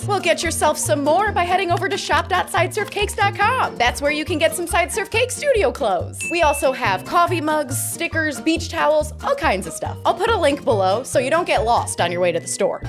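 A woman's voice: high voice, animated, moderate pace, hardly any pauses, over music. A woman in her thirties narrating a baking video in a chatty, self-deprecating way, laughing at herself now and then, recorded on a studio microphone. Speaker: cake artist host. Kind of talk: casual voice-over tutorial narration. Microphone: studio microphone with headphones.

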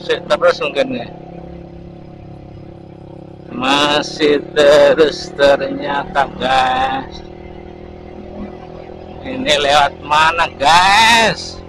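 Man, casual motovlog narration, helmet camera mic, slow unhurried talk, clear engine and road noise. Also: on the high side. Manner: chatty, keeps saying "guys" to viewers. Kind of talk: casual narration while riding. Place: riding a motorcycle on rural roads outdoors. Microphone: helmet-mounted action camera.

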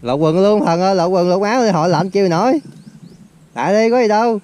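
A man's voice: high voice, a little fast, slight background noise. A young man with a Southern Vietnamese accent, giving casual fishing commentary by a lake and calling out instructions to a friend. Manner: excited, shouting instructions to a friend. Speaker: fishing vlogger. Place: outdoors by a forest lake.

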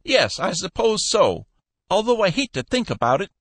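High-pitched, blustery old boss. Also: male voice, big pitch swings, moderate pace, a bit bright, no room sound, heavily acted. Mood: mock-earnest. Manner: exclamatory, emphatic, folksy oaths. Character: blustery, excitable old male lumber company boss. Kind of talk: audiobook character voice.

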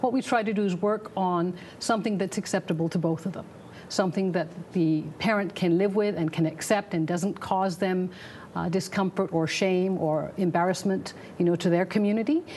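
50s woman social worker, steady explaining voice, studio, faint rumble. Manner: measured, explanatory. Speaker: social worker, panel guest. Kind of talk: televised panel discussion.

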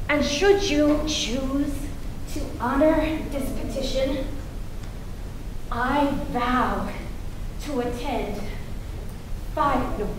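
A middle-aged woman giving an expressive, mock-solemn dramatic reading of a prayer-like poem into a stage microphone. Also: high-pitched, drawn-out words, long pauses. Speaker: poet reading at a tribute event. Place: stage in a meeting hall with seated audience. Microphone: stand microphone. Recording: echoey hall with some background noise.

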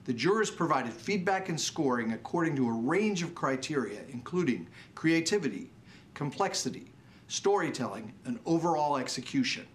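A man in his sixties with an American accent presents an awards show as host, in a formal, measured, scripted delivery. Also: a little high, expressive, a little hiss or hum. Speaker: professor. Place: indoor TV studio.

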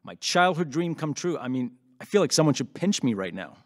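An actor playing an upbeat, boyish radio show host who chats informally and with enthusiasm in an audio drama. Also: male voice, a little high, sing-song, fairly quick. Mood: teasing, upbeat. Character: upbeat male late-night radio host, chatty and boyish.

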